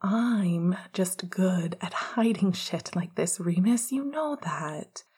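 A woman's voice: medium pitch, fairly even intonation, slow, breathy edge. A woman whispering as a tender, choked-up young man. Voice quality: soft whispery delivery. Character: emotional young man fighting back tears, tender.

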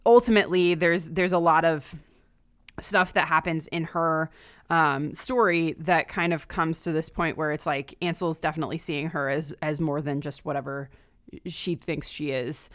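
Woman, low pitch, casual book-talk podcast style, thoughtful, filler-heavy phrasing, dry sound. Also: clean tone, no echo. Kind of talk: casual discussion.

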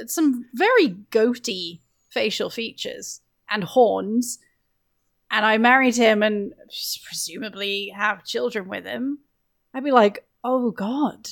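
Higher-pitched woman, slow creaky delivery. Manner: playful, jokey, musing. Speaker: podcast guest. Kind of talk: casual podcast chat about a video game.

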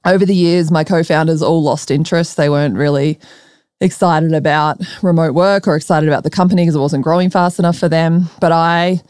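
A woman with an Australian accent, talking informally as a podcast guest and quick to laugh. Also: low voice, fairly even intonation, a little mellow, clear, resonant tone, no echo, clean studio sound. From Melbourne, Australia. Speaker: podcast guest, startup founder. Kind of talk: conversational interview.

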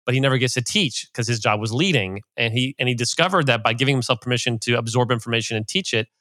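A man hosts a casual interview and talks quickly, with plenty of likes and you-knows. The recording is close and dry, with no room sound. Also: mid-range voice, sharp tone, dry recording. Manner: chatty, retells anecdotes with filler likes. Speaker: podcast host and coach.

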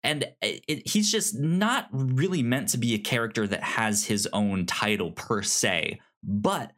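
A man chatting casually as a podcast co-host, halting and often repeating words as he works out his thought. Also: mid-range voice, fairly bright tone. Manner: halting, stammering, repeating words and syllables as he goes. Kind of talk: casual film discussion chat.

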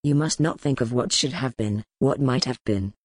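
A woman voicing a grim, powerful male duke, speaking low, measured and brooding in an audiobook. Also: a little mellow, breathy edge, no echo, studio quality. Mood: tender. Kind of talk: audiobook character voice.

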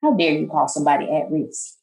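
Soft low-voiced woman, middle-aged, calm but gets emphatic, kinda echoey room. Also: brisk. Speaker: college president, guest. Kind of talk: interview conversation.

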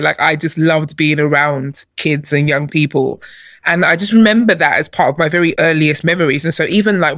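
Woman, lively guest storyteller, dry close mic. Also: sits low, no echo. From born in Nigeria, raised in London. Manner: lively storyteller, laughs often. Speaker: guest, chief executive. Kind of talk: casual podcast interview.

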